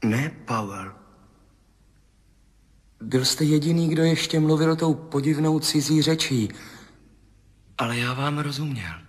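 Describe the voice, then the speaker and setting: An actor playing a man adrift in a dreamlike vision in a 1970s radio play, with a husky voice and an urgent, awed way of talking. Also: on the high side, pauses often. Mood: hushed, pleading. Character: astronaut Tom, adult man, anxious and searching. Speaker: narrating lead character. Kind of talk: 1970s radio drama acting.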